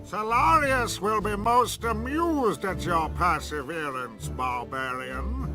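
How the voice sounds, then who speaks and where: An actor playing a pompous, sneering imperial commander in a video game, with a haughty, grandiose delivery. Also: male voice, high-pitched, slow, over music. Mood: smug taunting. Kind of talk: theatrical video game villain acting.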